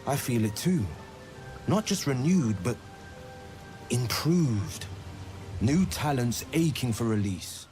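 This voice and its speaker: Slow, swooping, smug charmer. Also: male voice, medium pitch, lively intonation, darkish tone. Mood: smug, admiring. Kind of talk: video game character acting. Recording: faint music and rain behind.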